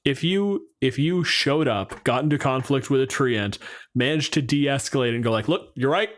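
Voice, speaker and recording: A man's voice: mid-range voice, normal speed. A man with a mid-range voice co-hosts a casual podcast. He talks conversationally and argues his case, qualifying points as he goes. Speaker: podcast co-host. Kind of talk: casual chat about tabletop game monsters.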